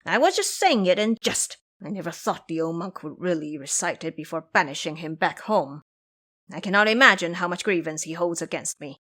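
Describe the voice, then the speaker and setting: A woman's voice: lowish voice, fairly bright tone. A woman voicing a cowardly, lazy male pig-man who blusters and complains in an audio drama. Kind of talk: audio drama character acting.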